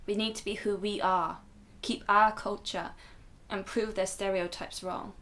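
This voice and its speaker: A woman acts as a protective grandmother in a radio play. She explains things earnestly and with measured care, in a middling female pitch. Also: fairly even intonation, fairly bright tone. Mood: grave, resolute. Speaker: actor in a radio drama. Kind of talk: radio drama dialogue.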